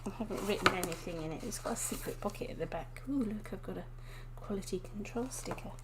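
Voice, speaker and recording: Croaky, giggly woman live-streaming. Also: mid-range voice, fast, noisy background. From England. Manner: chatty, giggly, greets viewers by name. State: croaky, sniffly with a cold. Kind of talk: casual live-stream chat. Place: home desk indoors.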